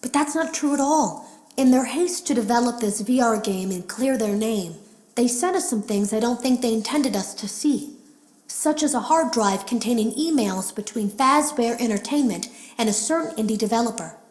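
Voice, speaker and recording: An actress voicing a female beta tester who leaves hushed, wary audio logs warning of a hidden threat in a game. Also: on the high side, animated, moderate pace, roomy sound, close to an everyday voice. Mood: urgent, resolute. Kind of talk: scripted game voice acting.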